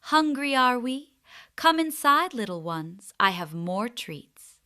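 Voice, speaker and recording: A woman's voice: fairly high pitch, very animated, takes it slowly, sharp tone, clean tone, full character voice. A woman voicing a sly, bossy old witch from a children's fairy tale, coaxing and commanding. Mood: falsely sweet.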